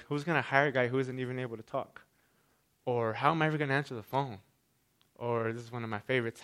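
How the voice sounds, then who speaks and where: Man, stutter, repeated sounds and restarts, smooth resonant voice, talk to an audience. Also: medium pitch, a bit bright. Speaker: speaker giving a stage talk.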